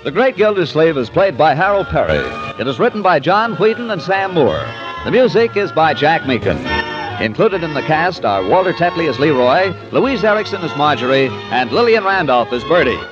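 A man's voice: on the high side, big pitch swings, moderate pace. A man with an American accent reading closing credits as a scripted 1940s radio announcer. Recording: muffled 1940s radio recording. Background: music.

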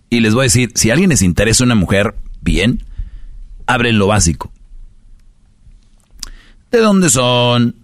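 A man's voice: mid-range voice, no echo, studio quality. Mexican man hosting comic radio advice. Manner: joking, playful, role-plays dialogues. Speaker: radio show host giving dating advice.

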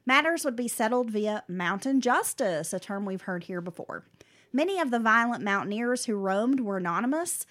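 Woman reading a dark history tale. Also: a little high, normal speed. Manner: animated, drops into slangy asides. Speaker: podcast co-host telling the story. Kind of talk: reading a prepared story with casual asides.

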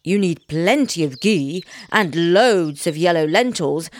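A woman's voice: fairly low pitch, expressive, slow. A woman voicing a poor, crafty woodman who loves his food, confiding and wheedling in a storybook tale. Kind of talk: storybook character voice.

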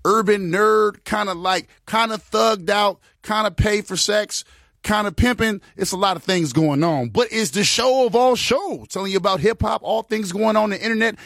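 A man's voice: high voice, a bit bright, no echo, studio quality. A man, host of a podcast, doing a loose, rambling promo for his show.

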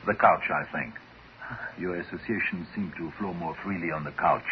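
An actor playing a cool, detached psychiatrist, measured and deadpan, in a 1950s radio drama. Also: male voice, deep voice, lightly acted. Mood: cool, clinical. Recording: muffled, hissy 1950s radio recording.

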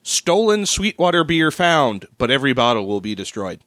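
A man with a Midwestern American accent on a casual podcast, reading a news item in a dry, steady way. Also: mid-range voice, normal speed, dry recording.

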